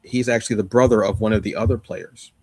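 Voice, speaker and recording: A man's voice: fairly low pitch, steady tone, quick pace, a little mellow. A man co-hosting a podcast, chatting casually and enthusiastically with slangy emphasis as he gives recommendations. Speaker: podcast co-host. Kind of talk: casual chat, giving recommendations.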